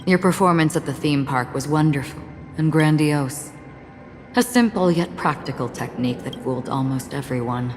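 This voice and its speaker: A woman voicing a calm, enigmatic, solemn wanderer in a video game cutscene, speaking in a measured, stoic way. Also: deep voice, close to an everyday voice. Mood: calm, probing. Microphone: close studio voice recording. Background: game music.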